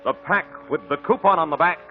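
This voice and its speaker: A man's voice: a little high, fast. An American man announcing from a script as the host of a 1950s radio show. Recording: muffled 1950 radio recording with music.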